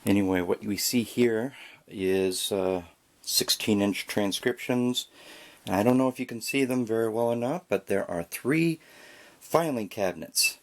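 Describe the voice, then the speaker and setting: A man's voice: lowish voice, measured pace, dry recording. A man casually narrating a home video tour as a collector, with wry, self-deprecating asides. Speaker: record collector presenting a collection. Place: indoors at home.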